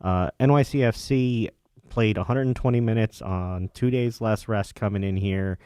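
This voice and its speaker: A man's voice: on the low side, moderate pace, dark tone, clean tone, no room sound, studio quality. A man hosting a sports talk show, interviewing a guest in a conversational way. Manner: conversational, leading questions.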